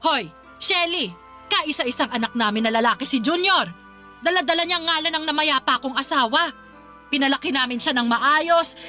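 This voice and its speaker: A woman's voice: sits high, bright, forceful tone. A woman playing an angry, overbearing older Filipino mother who nags and scolds sarcastically in a radio drama. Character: angry, overbearing, nagging mother of a grown son.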